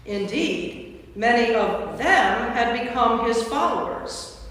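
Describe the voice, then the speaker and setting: Woman narrator reading bible story, measured, far from the mic in a big boomy church. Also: lively intonation, a bit bright. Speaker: narrator, Episcopal priest. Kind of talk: scripted narration of a staged scripture reading. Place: large church interior. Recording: very echoey church, distant mic.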